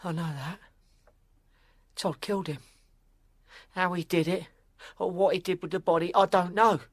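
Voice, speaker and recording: A woman playing a frightened young apprentice boy, timid, halting and deferential, in a period audio drama. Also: on the low side, steady tone, dry recording, close to an everyday voice. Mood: fearful.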